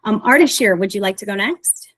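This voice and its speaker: A woman's voice: medium pitch, animated, a little fast, a bit bright. A woman moderating an online panel of authors, speaking in a polite and appreciative way. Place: video call.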